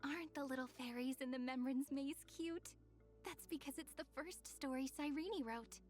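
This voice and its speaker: A woman acts out a gentle young girl from a video game, romantic and playful. Her voice is high and sweet, with a wistful, softly teasing manner. Faint music plays behind her. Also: normal speed, lightly acted. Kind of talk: video game character voice lines.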